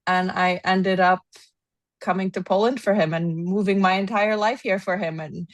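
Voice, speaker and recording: A woman in her thirties from Los Angeles with an American accent, chatting as an interview guest, animated and laughing often. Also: medium pitch, moderate pace, no room sound. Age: 30s. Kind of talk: casual interview chat.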